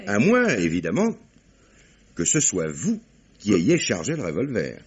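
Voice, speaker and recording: An actor playing a calm, probing police commissioner who questions witnesses with measured authority in an old radio play. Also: male voice, fairly low pitch, crowd noise. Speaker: police inspector. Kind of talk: 1950s radio drama acting. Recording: dull old radio broadcast with faint hiss.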